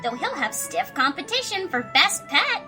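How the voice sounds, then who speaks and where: A woman voicing a perky, excitable young party pony in a cartoon-style audio drama, chirpy and upbeat. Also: high-pitched, normal speed, music in the background.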